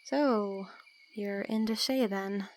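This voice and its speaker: A dry-witted young woman in an audio drama, played by an actress. She talks bluntly and teasingly, keeping her guard up in casual dialogue, with clear background noise and crickets around her. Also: medium pitch, slow, a little mellow, close to an everyday voice, rough recording.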